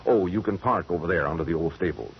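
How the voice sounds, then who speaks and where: An actor playing a brusque yet apologetic dude ranch owner, businesslike, in 1940s radio style. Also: male voice, deep voice, fast talker. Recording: muffled, faintly noisy old radio broadcast.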